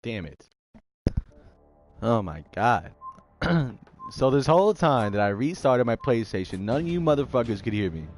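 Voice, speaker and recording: A man live-streaming a game with casual, profane commentary, his voice raspy and hoarse from a sore throat. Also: medium pitch, sing-song, a little slow, pauses often. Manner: loose, profane, rambling. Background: game music.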